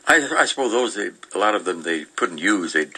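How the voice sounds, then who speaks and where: Older guy on a radio show, low bright voice, rambles through old listings, stops and starts. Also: expressive, husky. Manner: meandering, chuckling, halting delivery. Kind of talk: casual reminiscing chat, reading old newspaper listings.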